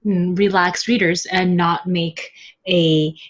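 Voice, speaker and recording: A woman's voice: lowish voice, fairly even intonation, slow, dull audio. A woman guest on a podcast, a translator talking casually about a novel she works on, often laughing and dotting her talk with fillers.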